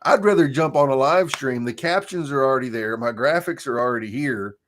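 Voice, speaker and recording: Croaky-voiced man, casual chat. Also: mid-range voice, fairly quick. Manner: relaxed, chatty, laughs often. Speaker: guest, marketing coach.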